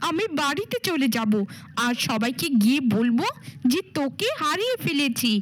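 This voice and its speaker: A woman's voice: high voice, sing-song, moderate pace. A woman voicing a scheming stepmother in a cartoon fairy tale, with a theatrical manner. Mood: gloating, spiteful. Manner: theatrical, coaxing and cajoling. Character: scheming, cruel stepmother feigning sugary motherly love.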